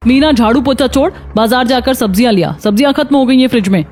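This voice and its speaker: A woman's voice: on the high side, quick pace, a little mellow, close to an everyday voice. A woman voicing a bossy, callous mistress of the house in a cartoon story, curt and commanding. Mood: scolding, ordering.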